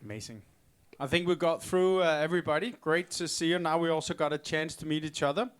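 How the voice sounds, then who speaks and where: A man's voice: a little high, quick pace, smooth. Casual man moderating a panel. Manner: relaxed, informal, chatty. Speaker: session moderator.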